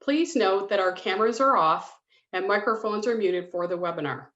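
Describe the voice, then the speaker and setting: A woman's voice: mid-range voice, moderate pace, a bit bright. A woman hosting a webinar, reading a businesslike scripted introduction on a video call. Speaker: public education coordinator and webinar host. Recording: dull roomy video-call audio.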